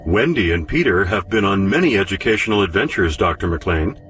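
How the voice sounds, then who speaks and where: An actor playing an unfailingly polite, faintly menacing talking room machine in a radio drama, speaking in a formal, measured, instructive way. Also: male voice, sits low, lively intonation, fairly bright tone, far from the mic, soft background music. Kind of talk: radio drama acting with nature-documentary grandeur.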